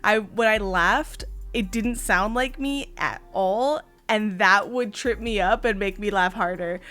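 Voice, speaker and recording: Hoarse, giggly woman streamer. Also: medium pitch, a little slow, a bit bright. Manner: giggly, chatty, talks to chat. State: hoarse, partly lost voice. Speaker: streamer host. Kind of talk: casual livestream chat.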